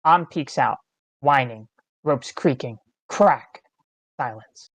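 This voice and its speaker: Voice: mid-range voice, lively intonation, takes it slowly, no room sound. Dry-sounding narrator reading clipped stage directions. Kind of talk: scripted screenplay narration. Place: online meeting, close dry mic.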